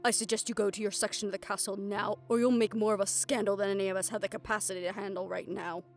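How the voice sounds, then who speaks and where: Woman acting a composed, authoritative aunt, mid-pitched. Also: level tone, soft background music, lightly acted. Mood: stern. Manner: measured, formal, stern. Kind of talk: audio roleplay drama.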